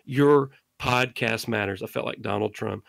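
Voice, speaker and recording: A man's voice: on the low side, moderate pace, vocal fry, a bit bright, no room sound, studio quality. A middle-aged man speaking as a podcast guest, earnestly urging listeners to keep creating. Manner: impassioned, exhorting listeners. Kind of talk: earnest interview monologue.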